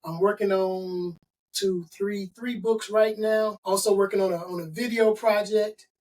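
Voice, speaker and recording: A man's voice: high voice, fairly even intonation, a little slow, a little mellow, far from the mic, rough recording. A middle-aged man from Chicago, a consultant and author being interviewed, talking in an emphatic, motivational way and stacking points in lists. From Chicago, USA. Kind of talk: interview conversation.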